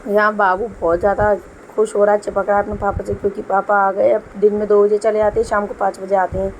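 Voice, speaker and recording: A woman's voice: mid-range voice, level tone, fast talker, slight background noise, poor audio. Chatty young woman with a sore throat. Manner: chatty, explains as she works. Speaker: homemaker vlogger. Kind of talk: casual voice-over narration. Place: home kitchen.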